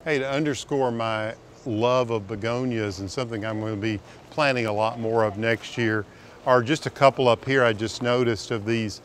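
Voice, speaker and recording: A man's voice: medium pitch, fairly even intonation, moderate pace, a little hiss or hum. A middle-aged man hosts a garden show outdoors at a farmer's market, talking enthusiastically and directly to viewers on a lapel mic. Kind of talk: on-location garden tour narration.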